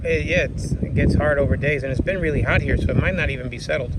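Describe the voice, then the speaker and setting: A man's voice: fairly high pitch, quick pace, heavy background noise. A Cuban American man from New York with a Spanish-tinged accent, joking around casually while working outdoors on a van roof. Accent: Cuban American Spanish-tinged English. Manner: joking, playful. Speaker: van-life vlogger.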